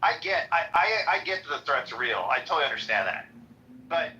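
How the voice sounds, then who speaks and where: A man with a high, nasal voice talking casually, stumbling over restarts and turning blunt when he gets heated. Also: very animated, a little fast, sharp tone, slight background noise. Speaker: room host. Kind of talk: casual conversation. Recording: dull lo-fi audio.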